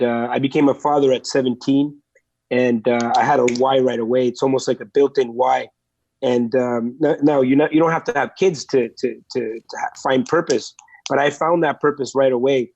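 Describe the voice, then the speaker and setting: A man's voice: medium pitch, a little mellow, no echo, dull audio. A man in his forties, from El Salvador, earnestly telling his life story as a podcast guest, with many fillers and restarts.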